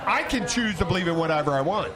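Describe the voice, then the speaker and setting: A man hosting a casual podcast chat, talking in a halting, bantering way and often repeating himself. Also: high voice, expressive. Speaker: podcast host. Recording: crowded, noisy public room chatter.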